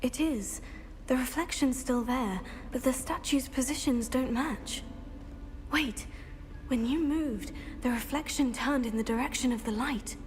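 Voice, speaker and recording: An actress playing a polite, observant young witch student in a video game, speaking earnestly and clearly. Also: high voice, unhurried, a little hiss or hum. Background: game soundtrack music.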